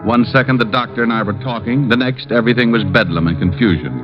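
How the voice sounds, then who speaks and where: Actor as a wisecracking private eye, old muffled radio. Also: male voice, mid-range voice, fast talker, over music, natural voice. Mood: grim, angry. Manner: wry, self-mocking. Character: wisecracking male private detective narrating his own case. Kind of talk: 1940s radio detective acting. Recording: muffled old radio broadcast, musical backing.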